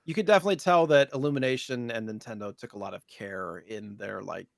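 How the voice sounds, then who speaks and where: A man's voice: fairly low pitch, quick pace, creaky, no room sound, studio quality. A man co-hosting a podcast, chatting casually in a loose, rambling conversational way. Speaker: podcast co-host. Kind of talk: casual chat about retro gaming news.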